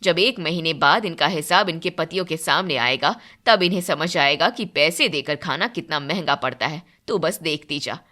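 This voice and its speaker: Woman playing deep-voiced, resolute mother-in-law. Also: pushed and bright. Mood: grim resolve. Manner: measured, firm. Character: stern, resolute middle-aged mother-in-law.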